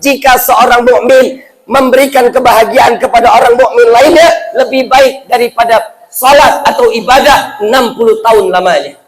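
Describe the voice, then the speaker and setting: High-pitched Indonesian male preacher, playful and colloquial. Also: steady tone, normal speed, rough recording. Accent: Jakarta Indonesian. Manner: colloquial, playful, interactive with rhetorical questions. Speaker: Islamic preacher. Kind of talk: humorous sermon to a live audience.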